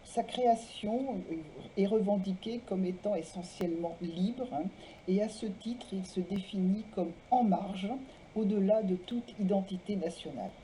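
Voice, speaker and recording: Mellow-voiced woman reading aloud, faint noise. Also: mid-range voice, unhurried, low-quality recording. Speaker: presenter reading writers' testimonies aloud. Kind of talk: literary talk, biographical introductions and read-aloud texts.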